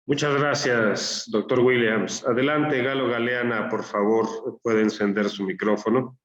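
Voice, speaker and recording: A man moderating a panel discussion, speaking in a measured way as he calls on the speakers. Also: mid-range voice, steady tone, a bit bright. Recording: echoey and distant.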